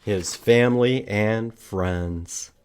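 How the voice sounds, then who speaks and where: A man hosting a children's storytime, reading a picture book and teaching letters in simple, expressive language with questions for young listeners. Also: on the low side, extremely slow, slight background noise. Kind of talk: children's storytime read-aloud and letter lesson.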